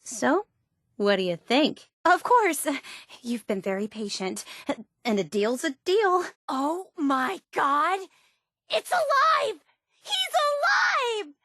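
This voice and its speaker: An excitable, high-voiced superfan. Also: female voice, slow pace, no room sound, studio quality, heavily acted. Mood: awestruck. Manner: gushing, earnest, excitable. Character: eager, obsessive young woman superfan of a soda brand. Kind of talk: video game character dialogue.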